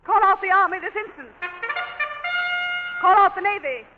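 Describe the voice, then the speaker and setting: A woman's voice: extremely high pitch, normal speed, music in the background. A woman playing a flighty, imperious woman president in a 1930s radio comedy sketch, grand and exasperated in manner. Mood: flustered, commanding. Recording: noisy, tinny 1930s radio broadcast.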